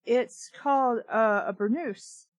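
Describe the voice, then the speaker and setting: Woman, higher-pitched voice, story reading, casual sarcastic commentary, exasperated asides, dry sound. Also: takes it slowly, dry recording. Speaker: book reader and commentator.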